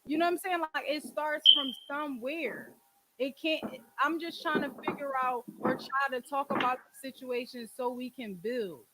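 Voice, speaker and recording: A woman's voice: high voice, moderate pace, heavy background noise. A woman, talking candidly and emphatically about personal matters in slang with plenty of swearing. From Philadelphia, United States. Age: 20s. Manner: blunt, emphatic, street slang, frequent swearing. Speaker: podcast and call-in show host. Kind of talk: casual, candid relationship talk.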